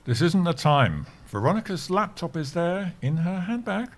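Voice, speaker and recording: A man's voice: high-pitched, big pitch swings, moderate pace. An actor playing a pompous, earnest older male office worker who dabbles in martial arts and mindfulness, in a theatrical comedy audio drama style. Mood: urgent, exasperated.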